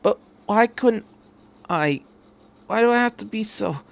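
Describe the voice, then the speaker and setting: Man voicing self-loathing teenage boy, raised halting voice. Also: sits high, lively intonation, vocal fry, voice pushed up, heavily acted. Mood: anguished, despairing. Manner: halting, pleading self-reproach. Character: self-loathing, anxious fourteen-year-old boy pilot. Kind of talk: fan fiction reading.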